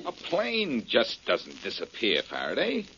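An actor playing a confident, wry detective with an assertive manner, in 1940s radio drama style. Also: male voice, on the low side, wildly swooping pitch, a little slow, loud music behind the voice, full character voice. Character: confident, wry male amateur detective hero. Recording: dull old radio recording.

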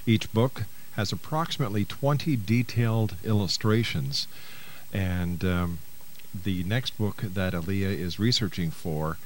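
A man's voice: deep voice, a little hiss or hum, rough recording. A man hosting a radio talk show in a chatty, courteous, slightly hesitant conversational way. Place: radio studio.

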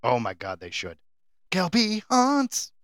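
Bright, joking podcast co-host. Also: male voice, high-pitched, huge pitch swings, slow, no room sound, studio quality. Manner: joking banter, teasing his co-host. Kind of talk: casual comedy podcast chat.

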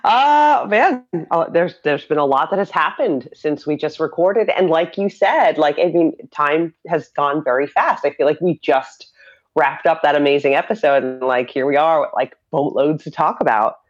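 A woman's voice: deep voice, moderate pace. A woman co-hosting a podcast while stuffed up with a cold, nasal and hyper from caffeine, in casual chat. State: congested with a cold. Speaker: podcast co-host. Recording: muffled lo-fi line.

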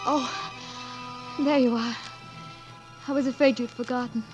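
An actress playing a highborn, romantic young lady, earnest and courtly, with a husky, breathy voice in 1940s radio drama style. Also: steady tone, unhurried, over music, natural voice. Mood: tender, earnest. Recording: dull vintage 1940s radio broadcast.

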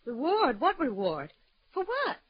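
An actress playing a fretful, devoted young wife who questions everything, in old radio drama style. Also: female voice, sits high, wildly swooping pitch, measured pace, a little hiss or hum, heavily acted. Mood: anxious, astonished. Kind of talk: 1940s-50s radio drama acting. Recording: dull old radio recording.

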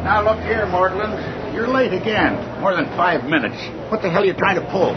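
An actor playing a scolding, sarcastic, strict transit supervisor in an old radio drama. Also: male voice, sits high, normal speed, natural voice. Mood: angry sarcastic. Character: irritated, bossy male transit supervisor, strict company man. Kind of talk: vintage radio drama acting. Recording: dull old radio recording, vehicle noise.

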